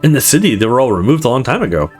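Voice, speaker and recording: A man's voice: mid-range voice, sing-song, very fast, a little mellow, faint music behind. A man hosting a let's play, reading a visual novel aloud and acting out its lines expressively. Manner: acts out dialogue expressively.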